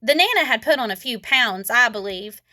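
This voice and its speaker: A woman reading a children's story aloud, expressive, with childlike phrasing. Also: on the high side, normal speed, no room sound, clean studio sound. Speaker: storybook reader. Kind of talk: children's read-aloud narration.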